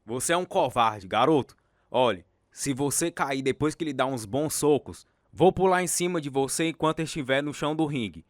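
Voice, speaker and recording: An actor playing an arrogant, bullying boxing champion in a radio drama, boastful and taunting. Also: male voice, mid-range voice, expressive, normal speed, no echo, lightly acted. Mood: mocking.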